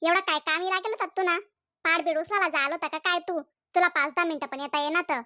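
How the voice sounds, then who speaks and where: A woman's voice: very high-pitched, fairly even intonation, quick pace, bright, forceful tone, no echo, clean studio sound. A woman voicing a scolding, jealous young village woman in a comedy cartoon, nagging and accusing in a Khandeshi Ahirani accent. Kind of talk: comedy cartoon dialogue in dialect.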